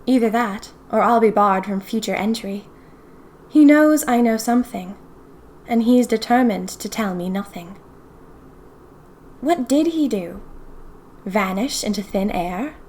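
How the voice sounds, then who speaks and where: A woman's voice: mid-range voice, unhurried, pauses often, a little mellow, a little hiss or hum, close to an everyday voice. A woman playing a young 1920s typist who confides eerie happenings to her diary in a chatty, dramatic, wry way. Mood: suspicious. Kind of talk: first-person audio fiction diary narration.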